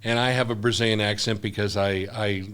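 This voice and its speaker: A man's voice: on the low side, fairly even intonation, brisk, a bit bright, clean tone. Rambling, earnest older missionary man. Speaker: missionary guest. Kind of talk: casual conversation.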